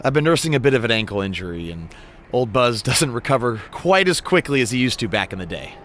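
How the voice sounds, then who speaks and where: A man's voice: mid-range voice, very animated, quick pace, a bit bright, slight background noise. A man playing an affable, easily exasperated veteran wrestler bantering as a show co-host in a game cutscene.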